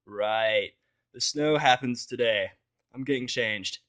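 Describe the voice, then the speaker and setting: A man voicing a sullen, irritable human man in a fan fiction audio story, speaking with deadpan sarcasm. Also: mid-range voice, slow pace.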